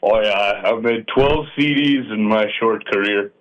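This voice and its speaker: A man's voice: on the low side, a little slow. A man from northern Minnesota, a polka musician chatting casually in a radio interview, folksy and self-deprecating. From Iron Range, northern Minnesota. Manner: folksy, joking and self-deprecating, trailing off with fillers. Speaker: polka musician and accordion player. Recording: dull AM radio broadcast sound.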